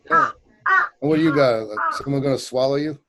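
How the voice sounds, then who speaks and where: A man in his fifties with a low voice, a guest actor chatting casually, rambling and joking with plenty of swearing, on a video call with a desk mic at home. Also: sing-song, fast talker, gravelly, heavy background noise. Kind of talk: casual interview chat. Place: home room. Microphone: desk microphone on video call.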